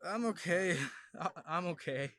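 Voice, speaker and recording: An actor playing an affectionate husband in an audio drama, with slow, drawn-out delivery. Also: male voice, high-pitched, animated, fairly bright tone, no room sound, studio quality. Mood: eerily calm. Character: affectionate husband, turning eerily cheerful when possessed.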